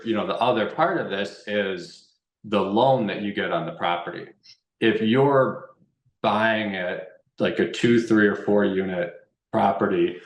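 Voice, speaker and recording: Low-voiced man in an echoey room. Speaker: guest real estate investment expert. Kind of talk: informal explanatory talk. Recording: roomy, echoey sound.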